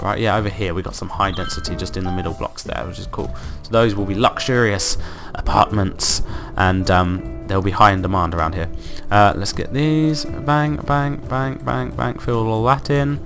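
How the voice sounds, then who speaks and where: A man with a croaky voice from being ill, hosting casual gameplay commentary and thinking aloud in an upbeat, rambling way. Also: on the low side, moderate pace, few pauses, over music. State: croaky from illness. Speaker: let's play host.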